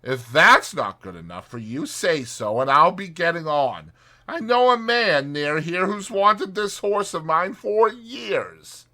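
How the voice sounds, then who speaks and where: Pompous boastful toad for a storybook, really high voice, swoops all over, indignant and wordy. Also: male voice, animated, slow pace, sharp tone, exaggerated voice. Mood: firm, haggling. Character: boastful, haggling, pompous toad, a male animal. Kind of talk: dramatized children's storybook reading.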